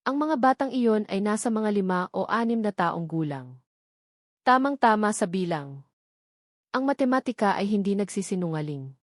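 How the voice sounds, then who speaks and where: A woman's voice: mid-range voice, animated, moderate pace, no echo. Filipino woman narrating drama, dry close sound. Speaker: story narrator. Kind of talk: scripted dramatic storytelling narration.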